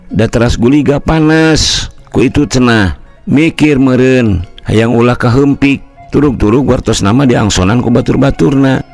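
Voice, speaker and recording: A man's voice: mid-range voice, darkish tone, lightly acted. A man with a Sundanese accent voicing a polite village man who relays gossip respectfully in an audio folk story. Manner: deferential, chatty, storytelling.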